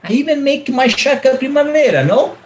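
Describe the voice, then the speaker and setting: A man's voice: very high voice, animated, fairly quick. A man playing a theatrical Italian husband and ladies' man in a radio play, effusive, with an Italian accent and broken English. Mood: wistful, eager. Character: melodramatic Italian widower and smooth ladies' man. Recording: faint background noise.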